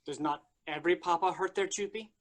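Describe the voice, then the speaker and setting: Casting: male reader as blunt childlike monster pet, highish voice, devoted and savage, comic stage role. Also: fairly quick, lightly acted. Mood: naive menace. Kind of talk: staged play reading.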